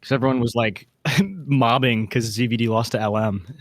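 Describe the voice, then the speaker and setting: A man's voice: mid-range voice, fairly even intonation, normal speed, studio quality. Informal male co-host, mid-range voice, conversational and slangy, little room echo. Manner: informal, slangy, says dude. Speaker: podcast co-host. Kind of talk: casual chat. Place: home basement.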